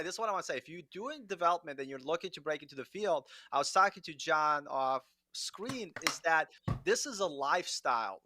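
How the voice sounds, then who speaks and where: A man's voice: fairly high pitch, pushed and bright, no room sound. A middle-aged man talking informally and enthusiastically as a guest on a casual livestream, often saying "like" and "you know". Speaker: guest developer and content creator.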